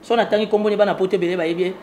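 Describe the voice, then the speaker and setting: A man with a Congolese accent speaks in a high-pitched voice over faint ambient noise. He comments on politics as an interview guest, driving points home emphatically and repeating his phrases. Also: fairly even intonation, very fast, a little mellow. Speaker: political analyst being interviewed.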